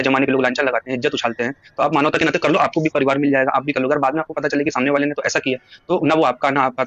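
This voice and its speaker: A man's voice: mid-range voice, fairly bright tone, no echo. A man talking casually and insistently at length on a recorded phone call, trying to persuade the other person. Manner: insistent, long-winded, persuasive.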